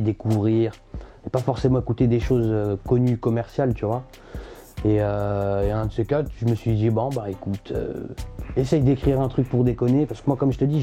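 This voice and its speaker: Young man, rapper guest, mellow dark voice, relaxed and hesitant, faint music behind. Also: medium pitch. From France. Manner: relaxed, hesitant with many fillers. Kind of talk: casual interview chat.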